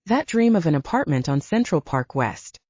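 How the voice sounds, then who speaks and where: Woman acting an expressive, wry young heroine. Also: low voice, normal speed, breathy edge, dry recording, lo-fi sound, full character voice. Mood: worried, resigned. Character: young woman writer, wry, anxious, affectionate. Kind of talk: audiobook dialogue acting.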